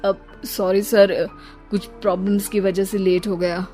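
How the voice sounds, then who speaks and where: A woman's voice: mid-range voice, flat delivery, measured pace, warm tone, voice pushed down, lightly acted. Woman doing nervous cartoon office guy, apologetic, hesitant, eager to please, light music. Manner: hesitant, deferential. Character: anxious, apologetic young male office employee, pleading.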